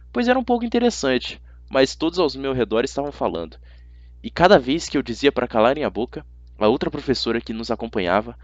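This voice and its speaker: Casting: Brazilian male amateur narrator, expressive storytelling, highly varied intonation, dry close-miked recording. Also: dry recording, dull audio.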